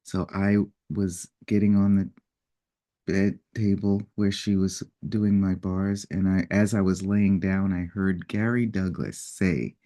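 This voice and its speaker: A man speaking in an unhurried, reflective way as he tells a personal story, now and then acting out what people said. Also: low voice. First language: English. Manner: unhurried, reflective storytelling with lively acted-out quotes. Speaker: personal development facilitator.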